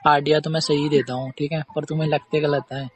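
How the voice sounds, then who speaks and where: A man's voice: a little high, level tone, fairly quick. Man chatting, slight noise. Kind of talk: casual phone chat. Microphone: phone call recording. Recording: faint background noise on call recording.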